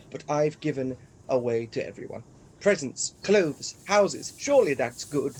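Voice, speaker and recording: A man's voice: fairly high pitch, expressive, a little slow, darkish tone, husky, low-quality recording. A man acting a gloomy, troubled older uncle in a comic radio play, weary and exasperated. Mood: pleading.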